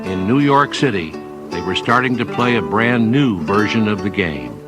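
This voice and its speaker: A man reading scripted historical narration in a measured, storytelling manner. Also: lowish voice, animated. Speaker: documentary narrator. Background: plucked guitar music.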